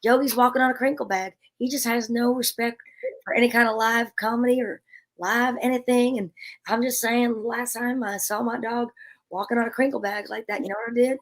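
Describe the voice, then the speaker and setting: A woman's voice: on the high side, steady tone, a little fast. A woman co-hosting a comedy livestream in casual, chatty, jokey banter. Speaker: co-host of a comedy radio show livestream.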